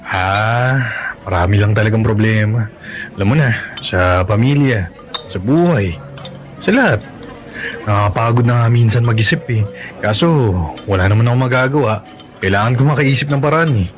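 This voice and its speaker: A man's voice: on the low side, moderate pace, long pauses, natural voice. An actor playing a burdened father confiding in a coworker, casual and resigned, in a Filipino radio drama. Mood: weary, confiding. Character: burdened male coworker, weary father hiding troubles. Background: background music.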